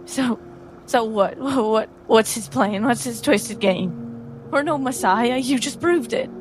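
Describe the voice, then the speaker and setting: A woman voicing an anguished human girl in a tabletop roleplay, halting and tearful, demanding answers. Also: fairly high pitch, pitched above the performer's own voice, natural voice. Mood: defiant, upset. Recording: music behind the voice.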